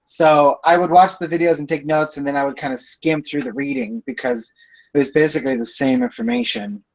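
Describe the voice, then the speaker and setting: A man's voice: a little high, a little fast, highs cut off. A young man in his twenties, a student chatting casually with classmates about courses on a video call, rambling through explanations with lots of fillers. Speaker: student in a group project.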